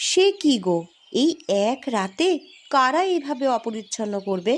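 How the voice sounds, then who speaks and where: A woman's voice: high-pitched, expressive, a little slow. A woman voicing an earnest, curious young village girl in a cartoon, speaking with wide-eyed emphasis. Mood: astonished, curious. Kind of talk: Bengali cartoon voice acting.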